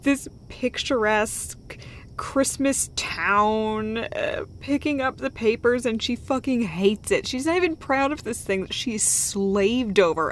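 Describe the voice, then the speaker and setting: A woman's voice: mid-range voice, fairly bright tone, a little hiss or hum. A woman playing a tender, foul-mouthed girlfriend who comforts her partner in an intimate, conversational roleplay, rambling and hesitating as she goes. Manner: halting, hesitant, rambling, sweary. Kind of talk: intimate roleplay audio monologue, casual and conversational.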